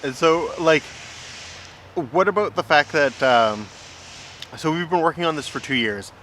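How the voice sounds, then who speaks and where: A man's voice: fairly high pitch, unhurried, a bit bright. A man hosting a casual chat interview, asking questions and explaining in an informal way. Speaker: vlog host interviewing his writing partner. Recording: clearly noisy outdoor urban ambience.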